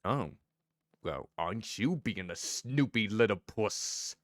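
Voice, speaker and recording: A man streaming a story game as a VTuber, chatting with his viewers in a playful, teasing way with a deep voice. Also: lively intonation, slow pace, fairly bright tone, dry recording, studio quality. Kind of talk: casual gameplay commentary.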